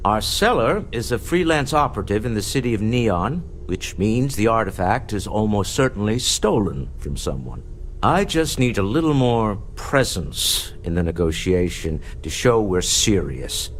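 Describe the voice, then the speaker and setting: Suave, animated businessman. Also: lowish voice, moderate pace. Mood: smooth, persuasive. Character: suave, shrewd older male businessman in space. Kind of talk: video game dialogue. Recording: faint background ambient noise.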